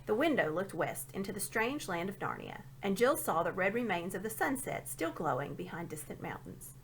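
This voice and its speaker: A woman reading a storybook aloud as an expressive narrator. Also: mid-range voice, normal speed, a little hiss or hum. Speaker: storybook reader.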